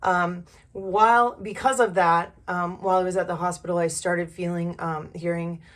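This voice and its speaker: Hoarse, low-voiced woman vlogging candidly. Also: fairly even intonation, normal speed. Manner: candid, informal, mildly profane. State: hoarse from a recent illness. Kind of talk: casual personal storytelling.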